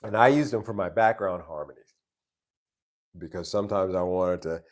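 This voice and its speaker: A man with a deep, very low voice hosts a relaxed live stream, chatting with viewers. He talks in an easygoing, meandering way, often restarting his sentences. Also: moderate pace. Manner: easygoing, rambling, many restarts. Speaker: live stream host answering chat. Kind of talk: casual live stream chat. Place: live stream from home base abroad.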